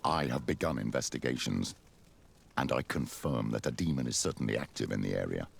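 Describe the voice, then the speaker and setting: An older bishop in a fantasy game, courtly and unctuous. The voice is extremely deep with a creaky grain. His theatrical intonation rises and falls widely. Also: lively intonation, fairly quick, full character voice. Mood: grave, suspicious. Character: older male bishop, flattering, smooth schemer.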